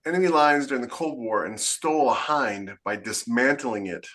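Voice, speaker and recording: Bright-voiced man hosting a podcast. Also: medium pitch, moderate pace. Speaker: podcast host. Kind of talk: casual interview chat.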